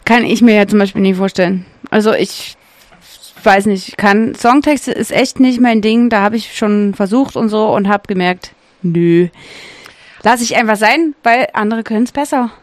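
A woman's voice: medium pitch, moderate pace, dry recording. A woman co-hosting a casual chat, curious and enthusiastic, asking short questions. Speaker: co-host.

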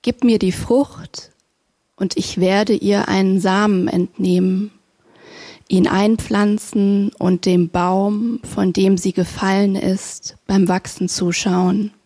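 A woman poet introducing herself and reading her poem aloud in a slow, measured, gently hesitant way at a live reading. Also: medium pitch, level tone. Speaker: poet and facilitator.

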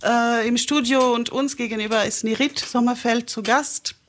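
A woman's voice: a little high, fairly even intonation, normal speed. A woman hosting an interview in a studio, asking questions and affirming her guest often. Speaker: interview host. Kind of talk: conversational interview.

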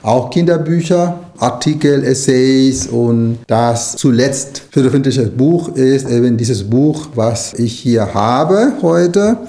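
Mellow middle-aged man. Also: medium pitch, slow pace, hardly any pauses. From Nicaragua. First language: Spanish. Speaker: interview guest, writer and language lecturer. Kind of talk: conversational interview answers.

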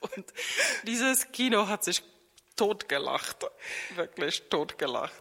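A middle-aged woman speaks in a slow, unhurried conversational way, often pausing with fillers as she searches for words. Her voice is bright. The room adds a long, echoing reverb. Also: medium pitch, sing-song. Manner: thoughtful, hesitant, many fillers. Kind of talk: casual podcast conversation.

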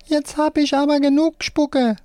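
A man voicing a cheeky, excitable hand puppet that squeals and wails dramatically in a story. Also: extremely high pitch, a little slow, dry recording, pitched above the performer's own voice. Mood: excited.

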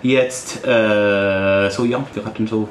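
A man from Italy speaks German, pausing as he searches for words. He sounds echoey in the room over faint noise. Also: on the low side, fairly even intonation. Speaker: band member.

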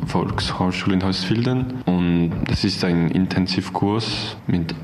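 A man's voice: low-pitched, unhurried. A young man from France, answering interview questions while searching for words in learner's German. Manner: searching for words, many fillers. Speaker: volunteer interviewee.

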